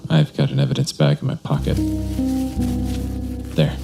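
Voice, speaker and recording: An actor playing a corrupt, menacing police detective in a radio drama, with an authoritative, commanding manner. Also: male voice, rumbling low, big pitch swings, normal speed, creaky, exaggerated voice. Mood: brisk, smug. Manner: authoritative, clipped orders. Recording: loud music under the voice.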